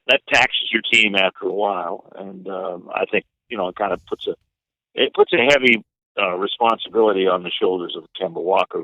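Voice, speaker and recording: A man giving sports analysis as an interview guest, explaining things in a measured, halting way. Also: deep voice, sharp tone, no room sound. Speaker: radio play-by-play announcer, interview guest. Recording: narrow, tinny phone-like line.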